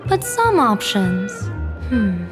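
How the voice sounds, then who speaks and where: Composed, enigmatic fortune-telling general. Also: female voice, medium pitch, wildly swooping pitch. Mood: musing. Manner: measured, formal, oracular phrasing. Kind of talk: scripted video game voice acting. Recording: music under the voice.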